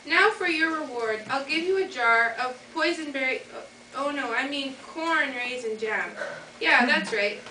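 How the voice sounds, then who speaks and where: Teen girl playing a high-pitched scheming old lady. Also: unhurried, pushed and bright. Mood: sly. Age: teenager. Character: wicked witch disguised as pleading, scheming old lady. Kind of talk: amateur school readers' theater. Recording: echoey noisy hall from far off.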